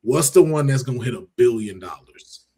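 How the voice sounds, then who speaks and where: A man's voice: mid-range voice, expressive, moderate pace, warm tone, husky. A man hosting a podcast, chatting casually with informal, slangy phrasing. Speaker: podcast host. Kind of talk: casual panel podcast chat.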